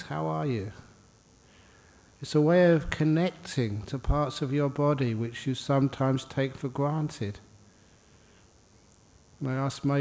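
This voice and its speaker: Male meditation teacher, medium pitch, soft-edged mellow tone; informal, unhurried guidance with light humour. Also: in a large hall. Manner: unhurried informal guidance with pauses, gently humorous. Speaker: Buddhist monk, meditation teacher. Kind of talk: guided meditation. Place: indoor meditation hall.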